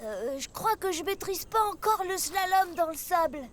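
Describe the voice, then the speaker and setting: A woman's voice: very high-pitched, expressive, moderate pace, a little hiss or hum. A woman voicing an eager, easily alarmed young truck in a children's cartoon, childlike and exclamatory. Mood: amazed. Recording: loud music behind the voice.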